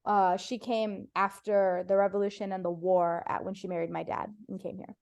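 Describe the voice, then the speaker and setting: A woman's voice: mid-range voice, flat delivery, normal speed, creaky, darkish tone. A woman comedian from Ohio, whose first language was Farsi, chatting casually as a podcast guest, telling stories with playful voiced quotes. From United States (Ohio).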